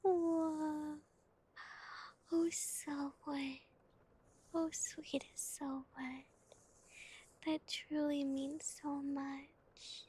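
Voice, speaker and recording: High, soft whispering woman, slow. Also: flat delivery. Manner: soft unvoiced whisper, gentle, giggly; calls herself a bitty bat, spooky cutesy persona. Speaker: ASMR streamer reading chat. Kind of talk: whispered ASMR.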